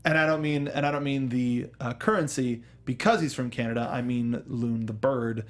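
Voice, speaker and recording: A man's voice: medium pitch, fairly even intonation, moderate pace. A man hosting a casual film review discussion, often rephrasing himself and joking as he goes. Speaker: talk show host.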